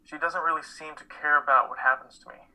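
A man playing a sharp-edged, bitter young student. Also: on the high side, fairly even intonation, a little fast, poor audio. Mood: bitter, hurt. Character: bitter young male student with a dying father. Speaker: amateur actor in a play reading. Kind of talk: live dramatic play reading.

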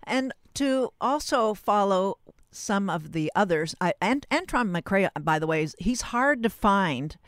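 Woman, radio interviewer, medium pitch, halting pieced-together phrasing, very dry close recording. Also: animated, no echo. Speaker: radio show host and interviewer.

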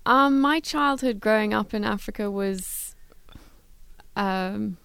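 A woman from Zimbabwe, a guest in a studio interview who talks in an even, unhurried way about her work. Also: medium pitch, vocal fry, clean tone, no echo. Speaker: guest, travel philanthropy worker.

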